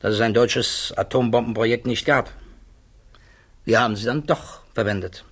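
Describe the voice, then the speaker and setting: A husky male voice of middle pitch from an actor as an embattled scientist at a security hearing. He is thoughtful and troubled, weighing each guarded answer with precision, in the manner of 1960s German radio drama. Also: normal speed, lightly acted. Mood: earnest, explaining.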